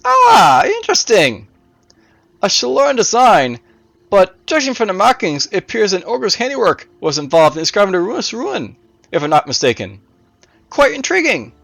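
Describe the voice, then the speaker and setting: A man voicing a curious, menacing necromancer who muses aloud in formal phrases, in a raspy storybook villain voice. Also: high voice, wildly swooping pitch, a bit bright, no room sound, voice pushed up, heavily acted. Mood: intrigued. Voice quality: raspy, wheezy. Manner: musing, formal, drawn-out exclamations.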